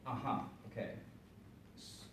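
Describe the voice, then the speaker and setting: An older man moderating a panel, halting and hesitant, full of fillers and restarts. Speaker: moderator, university teacher. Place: panel room with audience. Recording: echoey room, far from mic, noisy.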